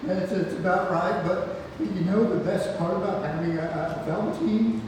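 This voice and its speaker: A man acts out a frail old grandfather in a stage play read-through, wistful and halting, with a fairly high voice that often trails off. The sound is very echoey and noisy, as if caught far across a hall. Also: lightly acted. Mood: tender, encouraging. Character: frail, ailing old grandfather, wistful, tender, testy with his son. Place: theatre room, far from the mic.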